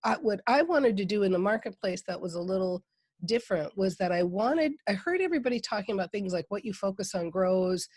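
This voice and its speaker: A woman's voice: medium pitch, lively intonation, brisk, darkish tone, no echo. A woman from Minnesota with a Minnesota accent, a guest on a podcast interview, talking with enthusiasm and laughing often. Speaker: guest, mindset coach.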